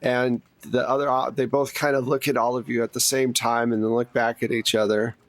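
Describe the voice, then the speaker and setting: A man's voice: mid-range voice, flat delivery, fairly quick, no room sound. Casual man running a tabletop game. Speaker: game master.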